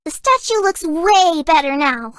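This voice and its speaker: Very high, girlish, shy fox-spirit girl. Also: expressive, measured pace, dry recording. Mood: sweet, chatty. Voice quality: girlish, cutesy. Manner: bashful, earnest, playful. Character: shy, sweet, excitable young female kitsune fox spirit. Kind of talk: video game character voice lines.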